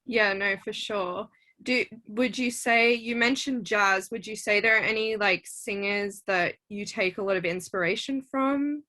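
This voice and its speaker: A woman with a bright, clear-edged voice interviews a guest in easy conversation. She talks in a chatty, eager way, filling her questions with "like" and warm encouragement. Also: mid-range voice, a little slow. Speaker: interviewer, show host. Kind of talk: casual interview chat.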